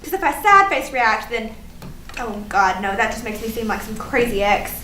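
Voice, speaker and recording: A woman's voice: a little high, big pitch swings, normal speed, sharp tone. A woman acting a frantic, melodramatic young woman who rambles and exclaims in a comedic staged reading. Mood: anxious, exasperated. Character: frantic young woman agonizing over social media feud. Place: live staged reading in a room. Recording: roomy, distant mic, faint noise.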